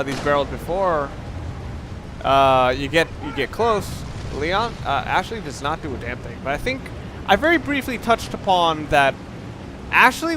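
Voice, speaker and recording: Let's play host: young man, slightly high voice, conversational and digressive, faint noise behind him. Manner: rambling, self-interrupting, conversational. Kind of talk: casual gameplay commentary. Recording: faint background noise, game sounds underneath. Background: video game sound.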